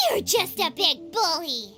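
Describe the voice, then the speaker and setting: A bold little girl from an anime-style video game. Her voice is very high and highly animated, with earnest, formally precise phrasing. Light music plays underneath. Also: exaggerated voice. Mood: alarmed. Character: spirited, principled young girl, small and bold.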